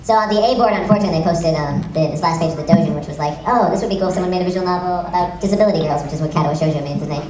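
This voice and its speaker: A woman's voice: on the low side, racing, few pauses, crowd noise. A young woman presenting informally at a fan convention panel, rambling, with giggles and casual swearing. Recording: echoey room, distant mic, audience noise. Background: audience.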